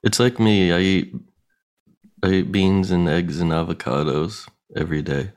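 A man's voice: sits low, fairly even intonation, moderate pace. A man co-hosting a comedy game podcast, talking in a sleepy, laid-back way. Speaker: co-host. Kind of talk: casual podcast banter. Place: home studio with guitar.

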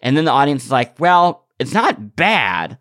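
A man's voice: mid-range voice, very animated, unhurried, a bit bright, no echo, clean studio sound. A man hosting a casual podcast discussion, thinking aloud hesitantly and correcting himself as he goes. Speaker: podcast host.

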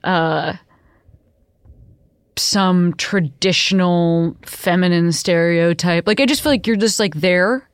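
Thirtysomething woman host, lowish voice, lots of likes and ums, super dry close mic. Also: takes it slowly, clear, resonant tone, dry recording. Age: 30s. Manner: rambling, lots of "like" and "um", self-correcting. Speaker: podcast host. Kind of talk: casual interview chat.

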